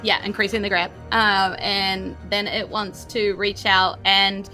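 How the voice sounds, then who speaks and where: A woman with a New Zealand accent works as game master in a bright, forceful voice. She chats and describes the action casually and teasingly, with background music playing. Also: medium pitch, level tone, unhurried, rough recording. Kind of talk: narrating a tabletop game in casual chat.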